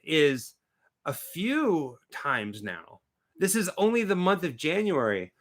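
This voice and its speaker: A man's voice: on the high side, sing-song, slow. A man in his twenties co-hosting a casual live stream, joking and bantering. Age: 20s. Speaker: co-host.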